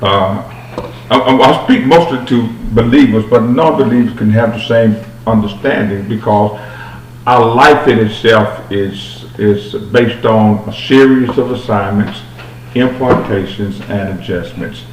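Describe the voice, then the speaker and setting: A man's voice: on the low side, a little slow. A man who is a pastor, speaking earnestly in a preacherly way as an interview guest, with some stumbles. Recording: roomy, distant mic with faint hum.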